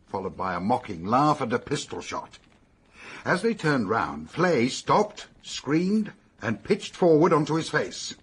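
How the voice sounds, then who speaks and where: An actor playing a brisk, practical police detective in a radio drama, with a slightly husky British voice and matter-of-fact delivery. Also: male voice, mid-range voice. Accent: British English.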